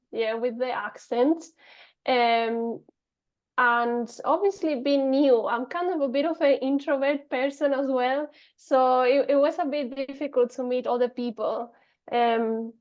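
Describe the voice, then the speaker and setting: A woman's voice: a little high, darkish tone, lo-fi sound. A Spanish-accented woman in her thirties, a podcast guest talking casually with many fillers and restarts. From Spain. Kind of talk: casual interview talk.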